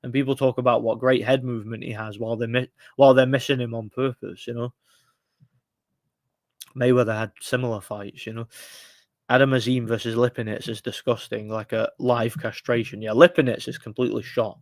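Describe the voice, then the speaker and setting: A man's voice: medium pitch, level tone, fairly quick, dry recording. Blunt rambling man in his thirties. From United Kingdom. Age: 30s. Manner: rambling, frequent restarts and fillers; frustrated, blunt opinions. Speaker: livestream host. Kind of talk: casual live-stream boxing chat.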